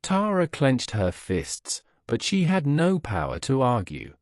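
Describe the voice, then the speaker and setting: Measured male storyteller, expressive and dry. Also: mid-range voice, no room sound. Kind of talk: scripted bedtime story narration.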